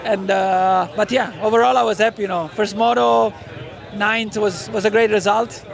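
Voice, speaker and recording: A man racer giving a press day interview outdoors, speaking haltingly and searching for words. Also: high voice, steady tone, vocal fry. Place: outdoors at a race track. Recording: outdoor crowd noise.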